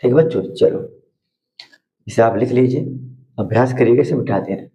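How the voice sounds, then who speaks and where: A hoarse man teaching math to school students at a board, repeating steps and asking questions that he answers himself. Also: mid-range voice, normal speed, warm tone. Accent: Hindi.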